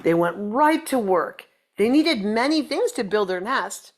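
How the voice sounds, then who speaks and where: A woman's voice: mid-range voice, expressive, measured pace, slight background noise. A woman reading a children's picture book aloud, playfully chatting to young listeners in little asides. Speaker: storybook reader.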